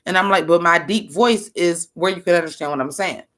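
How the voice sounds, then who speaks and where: A woman rapper with a deep, bass-heavy voice, chatting loosely to her viewers as she reacts to her own old album. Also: brisk, fairly bright tone. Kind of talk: casual reaction commentary.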